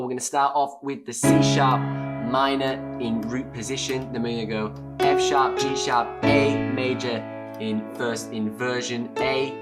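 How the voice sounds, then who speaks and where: Hoarse British man teaching a song. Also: mid-range voice. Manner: relaxed and chatty, explains step by step. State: hoarse, lost voice. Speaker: music teacher. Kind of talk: instrument lesson.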